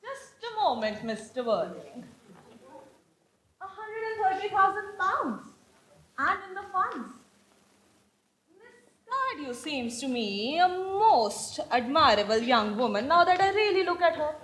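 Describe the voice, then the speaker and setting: An older Victorian aristocratic aunt, condescending and grandly theatrical. Her high voice swoops widely as she declaims with pompous authority. It is stage comedy, recorded in a roomy, echoing space. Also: big pitch swings, unhurried, fairly bright tone, full character voice. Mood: haughty, appraising. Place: indoor stage in a roomy hall. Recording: echoey amateur stage play recording.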